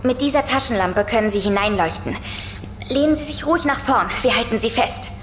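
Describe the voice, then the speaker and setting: A woman's voice: fairly high pitch, expressive, fairly quick, a bit bright. An actress playing an uneasy but matter-of-fact young woman in an audio drama. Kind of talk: German audio drama acting. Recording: echoey reverb, faint music.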